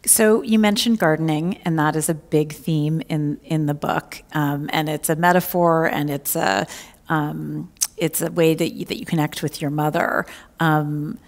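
A middle-aged woman journalist interviewing an author on stage, asking measured, attentive questions. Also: deep voice, steady tone. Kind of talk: onstage interview. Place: library event stage, large hall. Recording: hall reverb from a large room.

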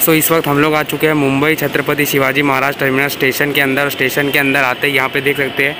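A man's voice: mid-range voice, monotone, quick pace. A young man narrating a casual train travel vlog, giving facts and mixing English phrases into his talk. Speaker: travel vlogger. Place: railway station and train coach, partly voice-over. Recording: loud station and train noise behind.

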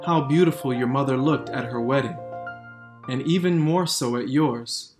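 A man's voice: mid-range voice, moderate pace. A man reading a sentimental scripted advertisement as an announcer. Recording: roomy voice under loud music. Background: loud instrumental music.

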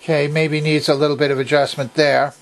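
Older man with a higher-pitched voice. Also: level tone, a little fast, noisy background. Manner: methodical, step-by-step explaining. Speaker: presenter of a vintage electronics repair video. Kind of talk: hands-on demonstration narration, casual and unscripted. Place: indoor workbench.

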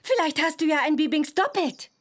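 A confident young witch girl in a children's computer game. She speaks in a high voice with very lively rises and falls. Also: brisk. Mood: eager.

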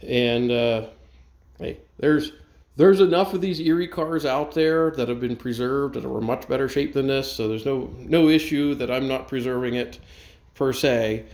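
A man giving a casual walkthrough of a renovation project, thinking aloud in a halting way as he films with a handheld camera. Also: medium pitch, darkish tone. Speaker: railroad volunteer presenting a renovation project. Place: inside a metal railroad caboose. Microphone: handheld camera, held by speaker. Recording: clearly noisy, ambient sound inside railcar.

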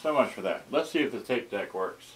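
An older man in his sixties giving a casual unscripted demonstration, halting and muttering to himself as he tinkers. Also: on the low side, expressive, very noisy. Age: older, 60s. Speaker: vintage boombox collector presenting his channel. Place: cluttered indoor storage room. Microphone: camera microphone, tripod then handheld.

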